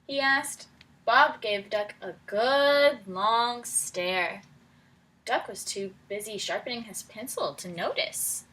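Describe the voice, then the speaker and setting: A woman reading a picture book aloud to children as their teacher, pausing to prompt them with questions in an encouraging way. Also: a little high, sharp tone, poor audio. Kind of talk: read-aloud storytelling with questions to young listeners.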